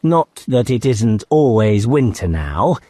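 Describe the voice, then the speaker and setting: A man voicing a gentle, sorrowful male faun who talks gloomily and breaks into sobbing pleas. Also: big pitch swings, slow, breathy edge, dry recording, heavily acted. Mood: gloomy. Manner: tearful, sobbing high pleas.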